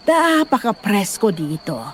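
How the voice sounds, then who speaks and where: A woman's voice: mid-range voice, very animated, a little slow, soft background music. A woman playing a protective, fussy middle-aged nanny in an audio drama, nagging and exclaiming in a Filipino accent. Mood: chatty.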